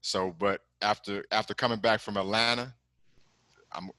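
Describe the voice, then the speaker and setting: A man's voice: lowish voice, fairly even intonation, normal speed, bright, forceful tone, dry recording. A middle-aged man from Texas, a commercial lender speaking as a guest on a video call, talking in a loose, rambling way and dropping "man" and "you know" often. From Galveston, Texas. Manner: laid-back, rambling, frequent "man" and "you know what I'm saying". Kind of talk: casual interview over video call.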